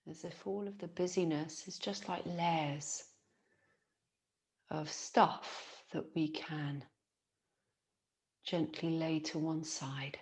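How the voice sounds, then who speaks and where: Female yoga nidra guide, low-pitched, very slow delivery, soothing and gentle, long pauses. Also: fairly even intonation. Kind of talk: guided relaxation meditation.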